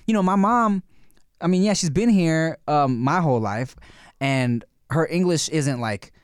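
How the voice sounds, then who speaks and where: A man's voice: on the high side, lively intonation, a little slow, smooth, no room sound, clean studio sound. Laid-back man chatting on a podcast. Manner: laid-back, many fillers and restarts. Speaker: podcast co-host. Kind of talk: casual podcast chat.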